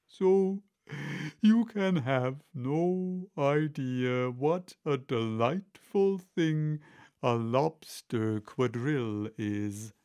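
A man voicing a melodramatic, tearful turtle creature from a storybook, with drawn-out, emotional delivery. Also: mid-range voice, animated, darkish tone, smooth. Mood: wistful, drawn-out. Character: melodramatic, tearful old Mock Turtle, excitable and wistful. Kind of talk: storybook character reading.

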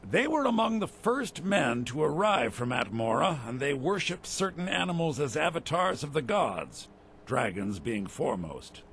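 A man's voice: on the high side, big pitch swings, moderate pace, a bit bright, a little hiss or hum. An actor playing an earnest, commanding Imperial army captain in a fantasy game, speaking formally as he explains his mission. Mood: grave, explaining. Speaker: game character. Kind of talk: scripted fantasy game dialogue.

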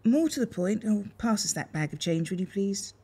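A woman acting in a radio soap as a brisk, doubting wife who runs a village post office. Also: medium pitch, animated, background noise, natural voice. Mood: brisk worry.

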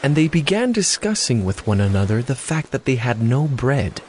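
A man's voice: medium pitch, sing-song, normal speed, a little mellow, slight background noise. A man narrating a dramatized scripture reading as a scripted narrator.

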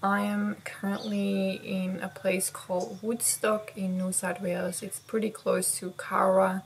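Young woman vlogging outside, slow n hesitant, bit of background noise. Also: medium pitch, little pitch movement. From Belgium. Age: young adult. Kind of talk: casual chat to camera. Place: outdoors on a house porch.

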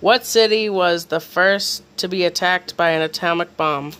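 A man's voice: sits high, moderate pace, bright, forceful tone, a little hiss or hum. A man hosting a casual family quiz, reading out the questions. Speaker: quiz host.

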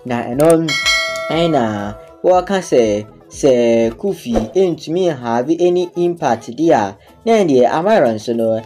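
Mellow Ghanaian man over music. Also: medium pitch, expressive, slow. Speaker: TV series recap narrator. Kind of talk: informal recap narration. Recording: music bed under the voice. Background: background music.

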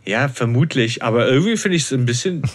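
A man co-hosts a podcast in a casual, conversational style. His voice sits at a medium pitch, and he talks colloquially, as if retelling a story to friends. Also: lively intonation, fast talker. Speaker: podcast co-host. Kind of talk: casual podcast discussion of an audio drama.

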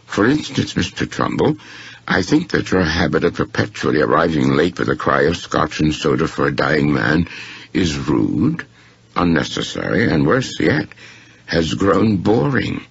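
A man voicing a courteous, shrewd, unflappable gentleman in a story, speaking formally and with deliberate precision. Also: rumbling low, sing-song, creaky, slightly rough, dry recording, highs cut off, heavily acted. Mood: gently pointed.

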